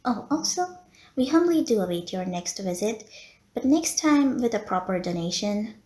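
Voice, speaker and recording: A woman's voice: mid-range voice, expressive, moderate pace, a little mellow, echoey room, natural voice. A woman playing a polite, teasing female vampire who runs a blood bank, in an audio roleplay spoken to the listener. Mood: patient, placating. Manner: genteel, courteous, teasing. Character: polite, flirty female vampire running a blood bank, playful and long-suffering. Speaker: medical center receptionist.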